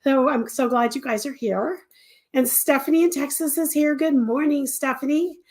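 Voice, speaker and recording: An older woman with a stuffy cold and a slightly raspy throat, chatting casually as host of a weekly live stream, greeting viewers by name. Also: sits high, moderate pace, darkish tone. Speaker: crochet designer hosting a weekly live stream.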